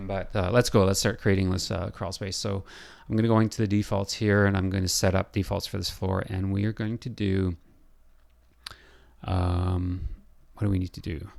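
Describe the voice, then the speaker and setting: Low, gravelly man with a scratchy voice. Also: fairly even intonation, a little mellow. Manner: thinking aloud, halting with fillers. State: hoarse, losing his voice. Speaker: building designer. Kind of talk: software tutorial screencast.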